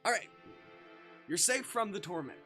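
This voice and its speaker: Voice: medium pitch, animated, normal speed, bright, forceful tone, soft background music. A live streamer chatting casually while playing visual novels, rambling and thinking aloud.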